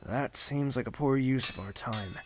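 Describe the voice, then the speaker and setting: A man plays an imperious prince in an audio drama. He has a medium-pitched male voice and gives curt, disapproving commands. There is faint noise behind him. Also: moderate pace, close to an everyday voice. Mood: disapproving, commanding.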